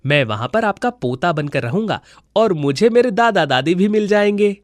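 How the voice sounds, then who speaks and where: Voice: medium pitch, lively intonation, normal speed, dry recording, studio quality, close to an everyday voice. A performer voicing a kind, devoted magical eggplant who acts as a young grandson in a cartoon. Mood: caring, eager. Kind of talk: cartoon dialogue.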